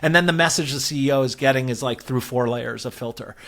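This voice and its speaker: A man's voice: medium pitch, quick pace, vocal fry, a bit bright. A man speaking as an interview guest, explaining work ideas conversationally and often checking in with "right?". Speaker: interview guest, product and growth leader.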